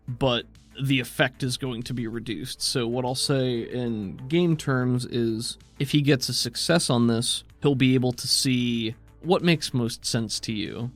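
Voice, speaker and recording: A man with an American accent talking casually as a game master, explaining rules and narrating in a measured, conversational way. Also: medium pitch. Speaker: game master and podcast host.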